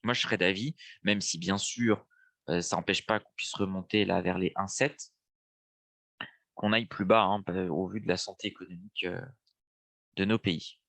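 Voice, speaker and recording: A man's voice: low-pitched, steady tone, normal speed, vocal fry, fairly bright tone, dry recording. A young man with a stuffy nose from a cold, casually walking viewers through a live trading lesson with lots of fillers. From France. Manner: casual, thinking aloud with frequent fillers. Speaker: trading webinar host.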